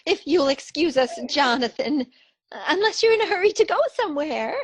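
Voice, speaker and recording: A woman as a high-pitched fluttery elderly aunt. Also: big pitch swings, moderate pace, dry recording. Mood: polite, flustered. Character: sweet, timid elderly aunt, fluttery and polite. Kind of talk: stage comedy radio acting.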